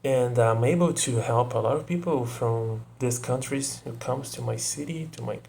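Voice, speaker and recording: A young Brazilian man, native Portuguese speaker, talking casually to camera in English with a Brazilian accent, often pausing to search for words and adding "you know". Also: mid-range voice, vocal fry, a little mellow, slight background noise. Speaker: video creator.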